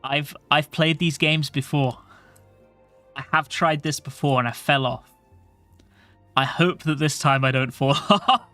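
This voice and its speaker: A man streaming a game, chatting casually to his viewers in a soft voice, rambling and swearing offhandedly. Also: fairly high pitch, steady tone, unhurried, long pauses, soft background music. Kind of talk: casual livestream chat.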